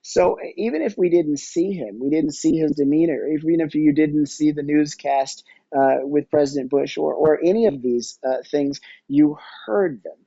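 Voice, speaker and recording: A man giving conversational interview answers as a podcast guest, discursive and halting as he thinks aloud. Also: on the high side, dark tone, husky, no room sound, dull audio. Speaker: podcast guest, audio storytelling company executive.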